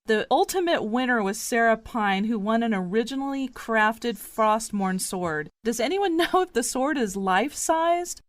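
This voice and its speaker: A woman's voice: a little high, animated, a little slow, few pauses, no room sound. A woman presenting a roundtable podcast as its host.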